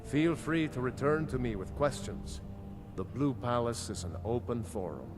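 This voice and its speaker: A man's voice: medium pitch, lively intonation, moderate pace, lightly acted. A man playing a dutiful royal steward in a fantasy game, formal, diplomatic and matter-of-fact. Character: dutiful, measured male steward of royal court. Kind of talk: fantasy game character acting. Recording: game music behind.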